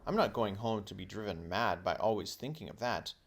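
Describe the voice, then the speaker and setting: A man's voice: on the low side, fairly quick. A man voicing a headstrong, curious and argumentative young boy from a children's storybook, insistent and exasperated.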